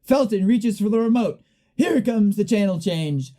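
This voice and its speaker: A woman's voice: mid-range voice, expressive, normal speed, dark tone, lightly acted. A young woman playing a male play-by-play sports announcer in a comic radio play, with dramatic emphasis. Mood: excited, amazed. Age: young adult. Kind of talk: parody live sports commentary in a radio play.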